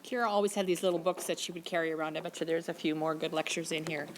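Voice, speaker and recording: A woman's voice: sits low, flat delivery, rapid-fire, slight background noise. A woman moderating the event, graciously thanking the speaker and opening the floor for questions.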